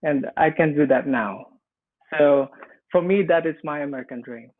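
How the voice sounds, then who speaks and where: A man from the Philippines with a Filipino accent, earnestly telling his immigration story on a panel, often restarting his sentences. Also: fairly high pitch. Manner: earnest, halting with frequent restarts. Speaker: immigrant small business owner. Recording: tinny phone-like line.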